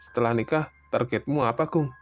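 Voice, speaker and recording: A man's voice: mid-range voice, fairly quick, natural voice. Man acting chatty big-brother type, music behind. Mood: friendly, curious. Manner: casual, advising, teasing. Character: friendly, teasing older-brother figure, married father. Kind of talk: casual animated dialogue. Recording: voice over prominent background music.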